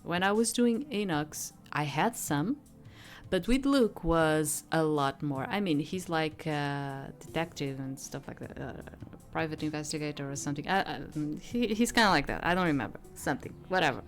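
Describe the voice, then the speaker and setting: A woman's voice: sits low, expressive, moderate pace. A woman streaming a visual novel, reading the game text aloud and breaking off into rambling, improvised commentary. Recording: game music behind the voice.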